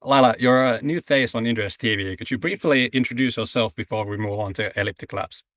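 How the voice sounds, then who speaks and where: Bright-toned male business interviewer. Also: medium pitch, fairly quick, no room sound, clean studio sound. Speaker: interviewer host.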